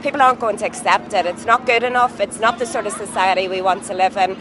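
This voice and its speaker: A woman's voice: medium pitch, fast. Impassioned woman activist. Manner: impassioned, emphatic. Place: outdoors at a city rally. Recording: outdoor traffic noise.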